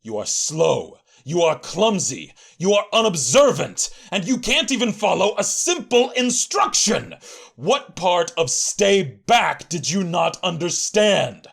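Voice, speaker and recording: Male actor as stern warrior mentor, audio drama, husky gravelly voice, clipped orders, wide swings in pitch. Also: big pitch swings, takes it slowly, fairly bright tone, exaggerated voice. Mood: angry scolding. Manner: gruff, clipped commands, scolding.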